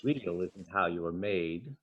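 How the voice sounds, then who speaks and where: Man reading as a gentle, slow old toy horse. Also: sits low, animated, highs cut off, pitched below the performer's own voice. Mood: gentle, patient. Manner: slow, deliberate, soft delivery. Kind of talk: storybook reading.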